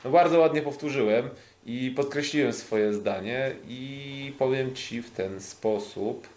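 A man's voice: medium pitch, unhurried, darkish tone. A man co-hosting a sports podcast in casual, unscripted talk, hesitant and often repeating himself. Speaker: podcast co-host and kickboxing commentator.

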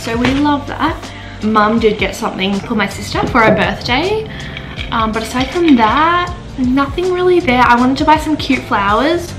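Upbeat woman in her twenties, higher voice, rambling vlog chat to a close camera, music bed underneath. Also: animated, moderate pace, low-quality recording. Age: 20s. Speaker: vlogger. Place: home rooms indoors and windy beach park outdoors. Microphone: handheld camera close to face.

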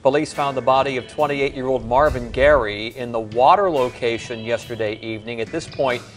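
A male news anchor reading a local report in a measured, formal broadcast style. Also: mid-range voice, faint music behind. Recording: TV news clip played back.

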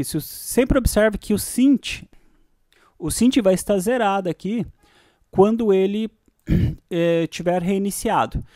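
A man's voice: sits high, mellow, dry recording, clean studio sound. A Brazilian man hosting a casual music production screencast, thinking aloud and often checking in with short tag questions. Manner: informal, frequent tag questions. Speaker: music production tutorial host. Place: indoors, voice-over on a screen recording.

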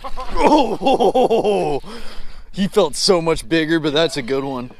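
Excitable young man fishing outdoors. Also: fairly high pitch, slow pace. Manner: excitable, laughing, friendly trash talk. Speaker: fishing vlog host. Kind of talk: casual chat while fishing. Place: small boat on a lake, outdoors.

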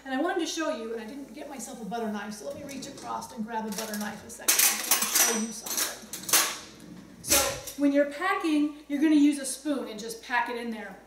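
High-pitched woman teaching in an echoey kitchen. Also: brisk. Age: middle-aged. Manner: conversational, explanatory, chatty asides. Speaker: cooking instructor, host. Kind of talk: casual instructional demonstration to camera. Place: home kitchen, indoors. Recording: roomy echo, distant mic, some background noise.

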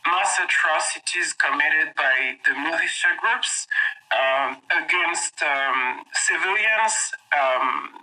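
Bright-voiced man on a remote call. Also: fairly high pitch, poor audio. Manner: halting, many fillers and restarts. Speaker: senior investigator, guest. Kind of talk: interview answers.

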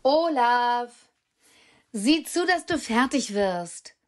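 An actress playing a brisk mother in a radio play. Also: on the high side, lively intonation. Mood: nagging, amused. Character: brisk, bossy mother of a schoolboy.

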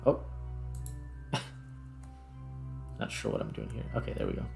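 A man's voice: a bass voice, sing-song, measured pace, gravelly, faint music behind. A man giving casual gameplay commentary, thinking aloud as he plays. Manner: thinks aloud while playing. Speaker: gaming video host.

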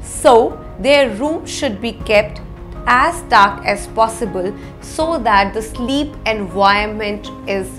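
A woman in her thirties with an Indian accent presents an explainer lesson to camera, phrasing things deliberately and with emphasis. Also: on the high side, a bit bright. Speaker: parenting educator, presenter. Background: background music.

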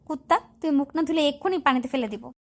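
A woman acts out a feisty young college girl for a romantic audio story. Her voice sits high, and she moves between bossy scolding and lovelorn pleading. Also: quick pace.

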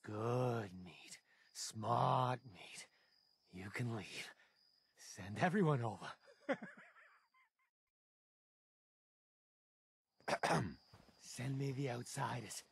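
A man playing an unhinged, menacing backwoods cannibal trapper in a video game, muttering gravelly, halting, clipped phrases. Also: mid-range voice, very animated, full character voice. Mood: creepy, pleased. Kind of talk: video game character acting.

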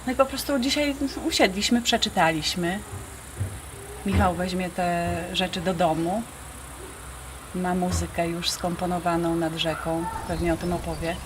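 A woman's voice: lowish voice, fairly even intonation, normal speed. A woman talking casually in an interview about her work as a performer and writer. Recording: noisy, insects and outdoor ambience.

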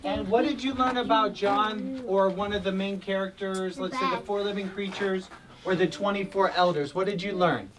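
A man's voice: high-pitched, fairly even intonation, moderate pace, far from the mic, crowd noise, poor audio. A father leading his family's Bible time, encouraging and asking each person questions in turn. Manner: encouraging, asks questions one by one. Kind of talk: casual family discussion. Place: small home studio room, indoors.

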